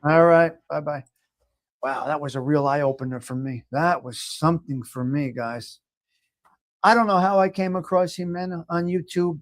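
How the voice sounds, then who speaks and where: A man with a New York accent hosts a casual livestream, reacting out loud to viewer comments. He talks emphatically, circling back to the same phrases with plenty of restarts, in a voice sitting a bit above the usual male pitch. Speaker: livestream host. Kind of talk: casual live chat, reading viewer comments aloud.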